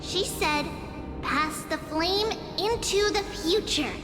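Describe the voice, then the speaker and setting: A woman voicing an earnest young heroine in a game, planning deliberately to save her doomed world. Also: very high-pitched. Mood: grave, resolute. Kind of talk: game voice acting. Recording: echoey long reverb, clear music behind.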